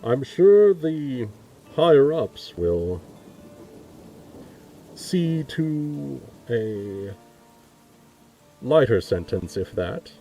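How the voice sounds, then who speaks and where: A man voicing a measured, formal fantasy investigator in a tabletop game. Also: mid-range voice, sing-song, mellow, heavily acted. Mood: measured, reassuring. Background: music.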